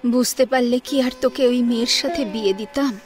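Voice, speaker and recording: A woman's voice: on the high side, fairly quick, natural voice. A woman playing an anxious, weary middle-aged mother in an audio drama, hesitant and plaintive. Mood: plaintive, resigned. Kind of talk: Bengali audio drama acting. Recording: music under the voice.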